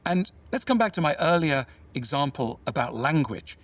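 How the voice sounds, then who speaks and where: A man with a somewhat high voice talks in a measured, explanatory way, often turning questions back on the listener. His voice sits a little away from the microphone, so it sounds slightly distant. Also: very animated. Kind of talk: reflective conversational talk.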